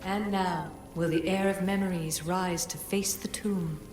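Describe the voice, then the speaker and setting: A woman's voice: lowish voice, steady tone, unhurried, over music, close to an everyday voice. A woman playing an ancient female oracle in a video game, formal, cryptic and commanding. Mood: solemn. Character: ancient solemn female oracle guiding the heir. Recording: echoing voice, faint background noise.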